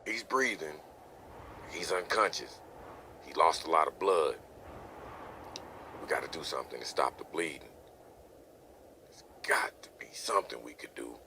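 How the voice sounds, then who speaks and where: A man playing a steady, concerned male survivor in a motion comic, with a deep, gravelly voice. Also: a little slow, pauses often, slight background noise, full character voice. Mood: hushed, grave. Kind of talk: dramatized motion comic dialogue.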